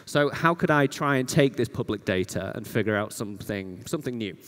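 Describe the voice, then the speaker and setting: A man's voice: mid-range voice, expressive, a little fast, fairly bright tone. A man giving an informal, explanatory conference presentation with emphatic repetition. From Yorkshire, northern England. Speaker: conference speaker, data scientist. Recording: reverberant hall sound.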